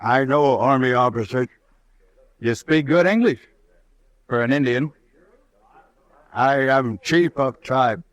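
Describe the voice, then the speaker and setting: Cast a man as a proud old chief for a vintage radio western, slow, few words, dry sound. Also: medium pitch, dry recording, highs cut off, natural voice. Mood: stoic. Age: older. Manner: slow, terse, clipped broken English. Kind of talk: 1950s radio drama acting.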